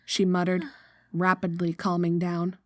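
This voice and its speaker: A woman's voice: on the low side, monotone, unhurried, no echo, studio quality. A woman narrator reading a scripted horror story.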